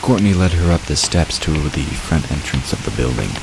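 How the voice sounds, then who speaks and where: A man's voice: rumbling low, lively intonation, moderate pace, gravelly. A man reading scripted story narration for an audio drama, with watery ambience behind him. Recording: constant rain-like hiss behind voice.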